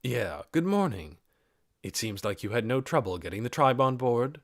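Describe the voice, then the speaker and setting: A man voicing a calm, sincere adult male lizardfolk warrior in an audiobook, speaking steadily. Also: mid-range voice, moderate pace, dry recording, clean studio sound. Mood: relaxed. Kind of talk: audiobook character acting.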